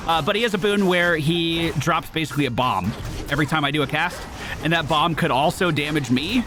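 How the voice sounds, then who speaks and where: A man giving casual, animated live commentary as a gaming host, exclamatory and swearing freely. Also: a little high, a little fast. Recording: game music behind.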